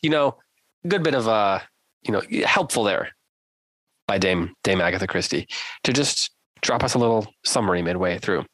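A man's voice: on the low side, expressive, moderate pace, pauses often, a bit bright, dry recording, low-quality recording. A man hosting a casual book-club podcast, playfully guiding the talk with prompts and questions. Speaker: podcast host.

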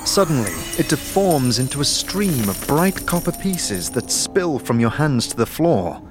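A man's voice: mid-range voice, very animated, measured pace. A man reading scripted narration for a fantasy audio drama. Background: music.

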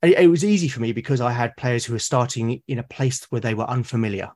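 A man's voice: medium pitch, quick pace, a little mellow. A British man, a podcast guest, talking in a reflective, casual way and often restarting and repeating words mid-sentence. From Britain.

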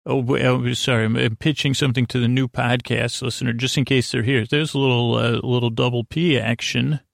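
Male sleep podcast host: gravelly creak, dry sound, halting goofy meanders, self-deprecating and non-threatening. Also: medium pitch, flat delivery, no room sound. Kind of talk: rambling bedtime sleep podcast monologue.

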